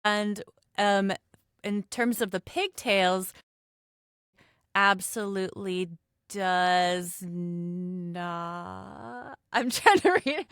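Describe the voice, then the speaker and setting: A woman's voice: mid-range voice, extremely slow, a bit bright, smooth, no echo, clean studio sound. A woman chatting casually as a podcast guest in a joking manner. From lives in California, USA. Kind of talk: casual panel chat.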